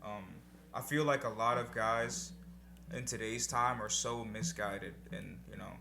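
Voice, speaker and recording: A man's voice: lowish voice, fairly even intonation, unhurried, gravelly, a little hiss or hum. A young man co-hosting a casual podcast, talking in a laid-back, reflective way with some casual swearing. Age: young adult. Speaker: podcast co-host. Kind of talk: casual chat.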